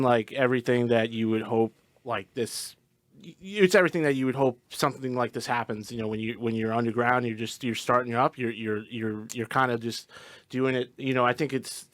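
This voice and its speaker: A man's voice: mid-range voice, no echo. A man in a band, answering as a guest in an earnest, rambling way with many repeated words. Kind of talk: casual interview answer.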